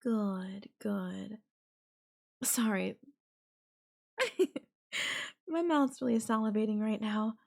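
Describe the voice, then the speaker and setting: A woman's voice: medium pitch, creaky, darkish tone, full character voice. A woman voicing a possessive yandere girlfriend in an audio roleplay, coaxing and teasing her captive with veiled threat. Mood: cooing, teasing. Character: possessive yandere girlfriend, sweetly menacing captor. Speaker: voice actor.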